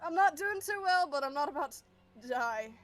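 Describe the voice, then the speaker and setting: Really high pitched woman just chatting through a tabletop game, little music behind. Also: animated, moderate pace. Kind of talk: casual game-table chat, narrating a character.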